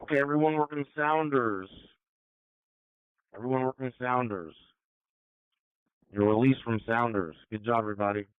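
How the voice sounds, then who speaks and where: A man's voice: medium pitch, moderate pace, pauses often, clean tone, no room sound. A man speaking in a plain, directive way over a police two-way radio. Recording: dry phone-like scanner radio.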